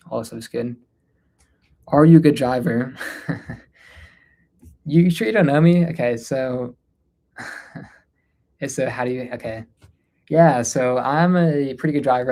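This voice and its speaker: A man from North India hosts an unscripted livestream, fielding questions in a casual, mid-pitched voice. He sounds tired, speaking informally and hesitantly, and his sentences often trail off. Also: gravelly, darkish tone. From North India, Delhi area. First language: Hindi, mixed with English. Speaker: livestream host answering viewer questions.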